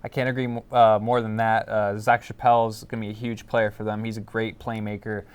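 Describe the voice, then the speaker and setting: A man's voice: on the low side, level tone, fairly quick, smooth. A man giving opinions as a panelist in a casual sports discussion, often repeating words as he goes.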